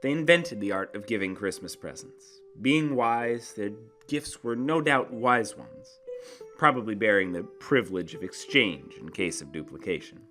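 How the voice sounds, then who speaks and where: A man narrating a literary story as a dramatic reading, with theatrical, deliberate emphasis. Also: fairly low pitch, lively intonation, a bit bright, soft background music.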